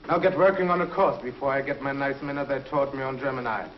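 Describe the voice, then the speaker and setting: A man's voice: medium pitch, fast talker, slight background noise, lightly acted. An actor playing a sneering, threatening male space villain in a 1950s TV drama, on a muffled old soundtrack. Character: menacing male space villain holding ship crew hostage.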